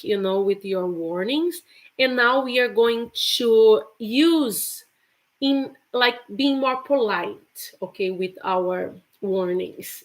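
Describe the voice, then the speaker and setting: A woman's voice: mid-range voice, low-quality recording. Chatty woman teaching English. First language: Portuguese. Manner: chatty, repeats example phrases with laughs. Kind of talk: live online English lesson.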